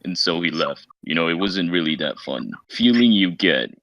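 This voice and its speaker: Very deep-voiced man, noisy mic. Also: lively intonation, normal speed, muffled recording. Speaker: voice call participant. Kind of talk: casual group chat. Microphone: voice call.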